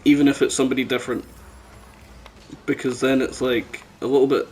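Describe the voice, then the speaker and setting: A man's voice: medium pitch, steady tone, a little fast, darkish tone, slight background noise. A man chatting casually with friends as a podcast co-host, blunt, teasing and sweary. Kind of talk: casual gaming chat with friends.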